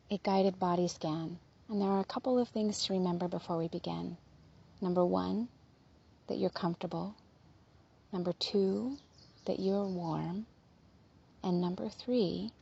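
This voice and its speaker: Soft, husky woman guiding meditation. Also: mid-range voice, muffled recording. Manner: soothing, gentle, unhurried with soft trailing phrases. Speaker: meditation guide. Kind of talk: guided body scan meditation.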